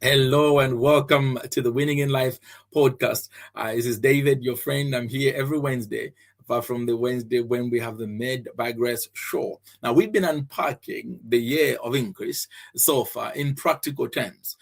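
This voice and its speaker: A man's voice: mid-range voice, fairly even intonation, moderate pace, vocal fry. A man from Zambia hosting a faith-based podcast, teaching earnestly, repeating his key phrases and often restarting with fillers. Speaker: podcast host and preacher.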